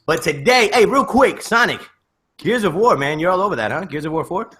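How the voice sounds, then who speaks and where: A man's voice: high voice, lively intonation, a little fast, fairly bright tone. A man hosting a casual podcast in slangy, emphatic hype-man banter. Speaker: podcast host.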